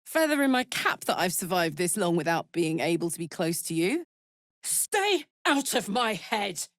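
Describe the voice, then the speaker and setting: A woman's voice: lowish voice, big pitch swings, normal speed, fairly bright tone, dry recording, clean studio sound. An actress playing a brash, big-hearted tiefling barbarian woman in a video game, blunt and swaggering in manner. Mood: earnest, tender, then bitter, anguished. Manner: blunt, slangy, swearing, swaggering.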